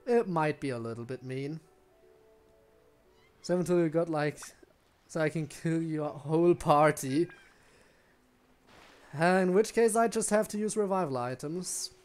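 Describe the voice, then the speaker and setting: A man's voice: fairly high pitch, measured pace, pauses often, darkish tone, faint music behind. A man giving casual, rambling live game commentary, musing aloud to his viewers. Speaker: game streamer.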